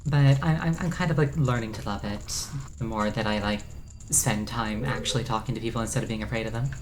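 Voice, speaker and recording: A woman with a husky contralto voice, chatting casually while streaming a game. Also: a bass voice, fairly quick, a little hiss or hum. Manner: chatty, self-aware, a little self-conscious. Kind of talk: casual livestream chat while gaming. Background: game music.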